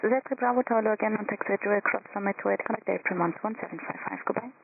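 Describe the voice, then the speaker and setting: Very fast woman controller on tinny radio. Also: mid-range voice, gravelly. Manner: clipped, procedural phrasing. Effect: radio transmission. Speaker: air traffic controller, airport tower. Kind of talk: radio air traffic control instructions. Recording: tinny narrowband radio, faint static.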